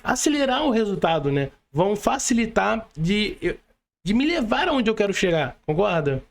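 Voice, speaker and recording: A man's voice: high voice, very animated, normal speed, creaky. A man with a Brazilian accent hosting a podcast, talking casually and often asking his guest to agree. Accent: Brazilian Portuguese. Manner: friendly, prompting, asks for agreement. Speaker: podcast host.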